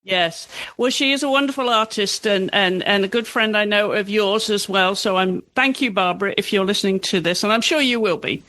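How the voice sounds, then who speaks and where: A woman's voice: medium pitch, brisk, hardly any pauses, pushed and bright, no echo. Woman podcast host, rambling self-correcting intro style, dry close mic. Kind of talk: interview hosting.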